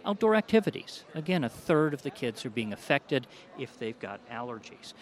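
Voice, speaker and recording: A male doctor speaking in a measured, explanatory tone, pitched in the middle range for a man. He lays out findings point by point as an interview guest. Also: very animated. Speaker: doctor, allergy specialist. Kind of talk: interview answers.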